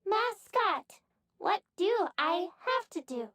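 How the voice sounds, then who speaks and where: Tiny, squeaky, slow mascot creature. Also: female voice, big pitch swings, fairly bright tone, dry recording, full character voice. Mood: innocent, curious. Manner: simple, halting childlike phrasing.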